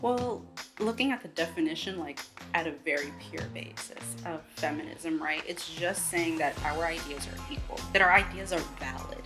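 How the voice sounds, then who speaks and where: A woman in her late twenties from New York City, speaking in a lively, animated way in an interview. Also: sits low, normal speed. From the Bronx, New York City. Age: 20s. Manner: lively, animated when quoting others. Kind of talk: candid sit-down interview. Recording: music bed behind voice.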